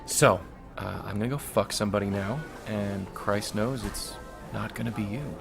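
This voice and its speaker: An actor playing a crude, domineering corporate boss in an audio drama, mocking and menacing in manner. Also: male voice, fairly low pitch, moderate pace, creaky, over music. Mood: menacing, contemptuous.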